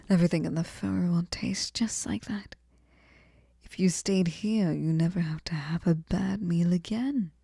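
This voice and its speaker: Slow, breathy, clingy fairy hostess, acted by a woman. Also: mid-range voice, a little mellow, no echo, clean studio sound. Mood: coaxing, doting. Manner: breathy cooing, often dropping into whispers, coaxing. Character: sweet, clingy, possessive female fairy hostess, lonely and obsessive. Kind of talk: intimate ASMR roleplay. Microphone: close microphone, dry sound.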